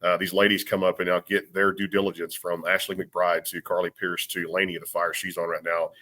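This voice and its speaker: A man's voice: low voice, little pitch movement, brisk, pushed and bright. A man hosting a radio interview, upbeat and eager, saying "y'all" and promoting the guest's work. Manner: upbeat, enthusiastic, plugs the show. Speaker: radio show host.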